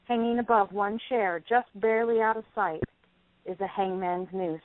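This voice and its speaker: A woman's voice: mid-range voice, moderate pace, no room sound. A woman reading stage directions as scripted narration for a play. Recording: tinny narrowband phone-like line.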